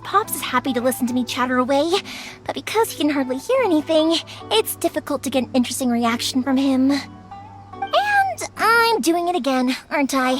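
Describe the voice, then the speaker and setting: A woman's voice: very high-pitched, fairly bright tone, full character voice. A woman playing a cheerful, talkative young fireworks maker in an anime-style video game, rambling along enthusiastically. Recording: music under the dialogue. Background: music.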